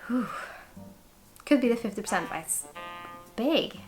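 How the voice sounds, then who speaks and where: A woman's voice: fairly high pitch, measured pace, soft background music. Chatty young Swedish woman reviewing whisky. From Sweden. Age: 20s. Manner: chatty and excitable, thinks aloud. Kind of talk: casual tasting review.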